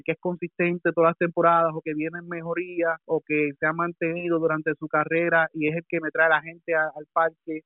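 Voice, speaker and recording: A man's voice: on the high side, no echo. A man calling in as a baseball commentator, explaining by taking different viewpoints and often repeating words. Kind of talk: casual panel discussion. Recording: phone line call-in.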